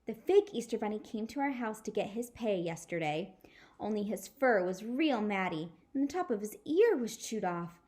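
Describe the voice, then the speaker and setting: A woman's voice: mid-range voice, very animated, normal speed, mellow, lightly acted. Lady doing a prim huffy little girl from a kids book, snooty tattletale. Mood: tattling.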